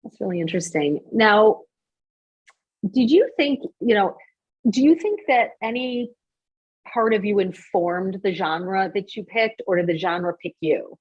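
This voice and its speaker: A woman hosts an interview in a relaxed, chatty register. Her voice is medium in pitch with a husky, unpolished grain. The sound is a little dull and lo-fi. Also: a little slow. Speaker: interview show host.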